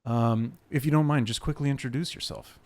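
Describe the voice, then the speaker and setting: A man's voice: mid-range voice, steady tone, a little fast, darkish tone. A young adult man hosting his podcast from a home webcam setup, chatty and joking, rambling with fillers. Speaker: podcast host. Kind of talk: casual interview chat.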